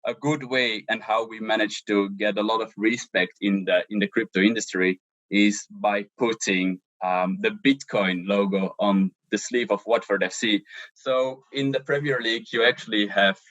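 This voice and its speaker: Man, halting interview answers, low pitch. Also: fairly even intonation, a bit bright, no room sound, lo-fi sound. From Belgium. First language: Dutch. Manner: hesitant, many ums and restarts. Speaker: social media manager, interview guest.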